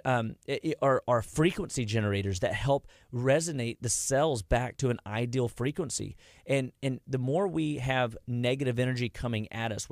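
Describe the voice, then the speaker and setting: A man's voice: mid-range voice, no echo, clean studio sound. A man, giving a rambling, folksy talk about health as a wellness clinic host. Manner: rambling, folksy, loses his train of thought.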